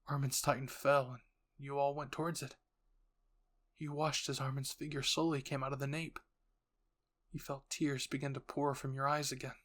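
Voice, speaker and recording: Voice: mid-range voice, flat delivery, moderate pace, pauses often, a bit bright. Audio roleplay narrator, middle-register voice, scripted storytelling. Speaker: narrator and voice performer. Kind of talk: scripted fan-fiction narration.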